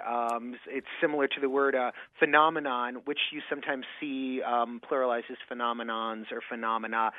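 A man's voice: medium pitch, dry recording. A man from Michigan, a dictionary editor, answering questions on a radio call-in show, hesitant with many ums and restarts. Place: remote studio in Boston. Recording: dry, phone-like audio.

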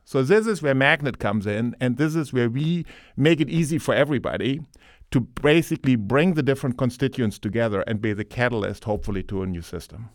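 Brief: middle-aged man, halting panel speaker, dry close sound. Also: medium pitch, dry recording. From Germany. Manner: earnest, thinks aloud with many fillers. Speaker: panel guest, manufacturing advocate. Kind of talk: panel discussion.

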